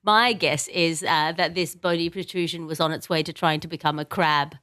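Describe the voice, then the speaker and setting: A woman's voice: low-pitched, steady tone, moderate pace, fairly bright tone, smooth, no room sound, studio quality. A woman hosting a comedy news podcast, bantering in wordy, mock-formal phrasing.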